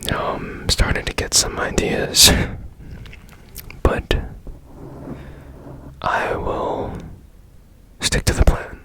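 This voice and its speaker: A man playing a strange, curious repairman in a whispered ASMR roleplay, breathy and gently reassuring with repeated soft phrases. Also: very slow, pauses often, low-quality recording. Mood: musing, curious. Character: strange, curious, soothing male repairman of broken machines. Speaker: ASMR performer.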